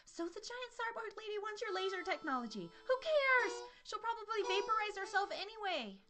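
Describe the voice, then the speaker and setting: A woman's voice: very high voice, big pitch swings, a little fast. A woman playing a plucky, no-nonsense space heroine in a comic sci-fi radio play, speaking in an exclamatory, rallying way. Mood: urging.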